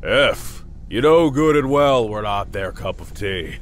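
An actor playing a laid-back veteran Jedi in an audio drama, with a wry, easygoing manner. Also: male voice, lowish voice, animated, a little slow, a little hiss or hum. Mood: wry, unhurried.

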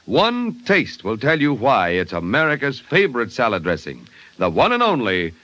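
A man's voice: mid-range voice, wildly swooping pitch, normal speed, fairly bright tone. A man announcing and narrating in scripted old-time radio style, polished and emphatic.

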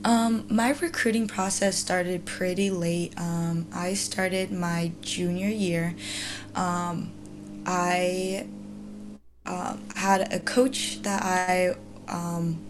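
A young woman in her twenties, a student athlete whose first language is Mandarin, answering interview questions with many hesitant ums. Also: lowish voice. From China. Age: 20s.

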